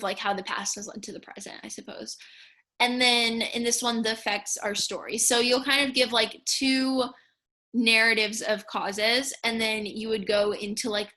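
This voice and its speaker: A young woman with a clear-cut bright tone and a somewhat high pitch talks through a lesson. Her delivery is informal and explanatory. She sounds casual and a little tentative, softening her points as she goes. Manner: casual, tentative, hedging with "kinda" and "I guess". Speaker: speech and debate coach or student instructor.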